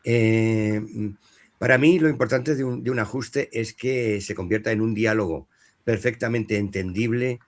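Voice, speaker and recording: Brief: middle-aged man, low voice, dubbing director at a panel, hesitant storytelling. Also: a little slow. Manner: anecdotal, many fillers and restarts. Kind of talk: panel talk at a professional meeting.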